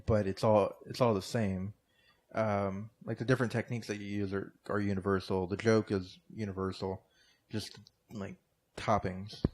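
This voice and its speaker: A man's voice: fairly low pitch, level tone, normal speed, darkish tone. An American man chatting casually on a comedy podcast, rambling through his points with lots of fillers and restarts. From United States. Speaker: podcast co-host or guest.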